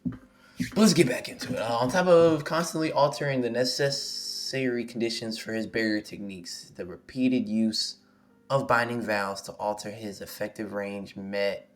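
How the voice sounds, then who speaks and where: A hoarse young man on a live stream, reading a manga chapter aloud and reacting excitably, swearing freely. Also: lowish voice, moderate pace. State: hoarse, losing his voice. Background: faint music.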